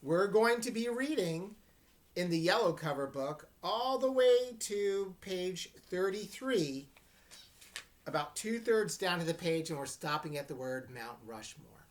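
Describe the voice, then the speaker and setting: A woman with a low voice teaches an informal reading lesson. She speaks slowly and explains as she goes, checking that her students are following along. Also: animated. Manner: unhurried, explanatory, checks understanding. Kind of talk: informal read-aloud lesson.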